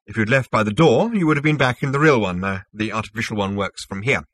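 A self-assured, smug man of business acted by a male narrator explains himself in a sci-fi storybook. His manner is brisk and formal with small hesitations, and the sound is dry. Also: fairly low pitch, animated, fairly bright tone, no room sound. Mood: smug, businesslike.

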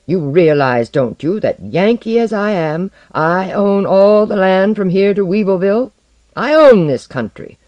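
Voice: medium pitch, animated, a little slow, darkish tone, no room sound, muffled recording, pitched above the performer's own voice, natural voice. A reader voicing a proud, domineering Yankee landowner and ardent suitor from a storybook romance. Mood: angry, haughty. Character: proud hot-tempered powerful male Yankee landowner suitor.

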